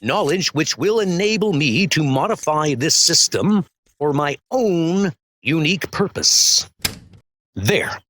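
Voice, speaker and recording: A man's voice: on the high side, expressive, slow pace, a bit bright, a little hiss or hum. A man voicing a heroic, grave thunder god with lofty, formal, declamatory speech in a superhero comic dub. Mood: proud, deliberate.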